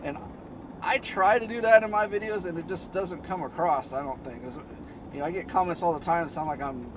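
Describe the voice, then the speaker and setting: Male DIY vlogger, slightly high-pitched, monotone and low-key; recorded in a moving car with clear road noise. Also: brisk, rough recording. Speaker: DIY car-repair channel host. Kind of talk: casual vlog talk while driving.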